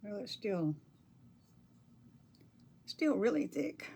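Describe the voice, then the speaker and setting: A woman's voice: mid-range voice, sing-song, extremely slow, slightly rough. An older woman, chatting on a crafting livestream, reading viewer comments and murmuring to herself as she paints. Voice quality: soft-spoken. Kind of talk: casual livestream chat while painting.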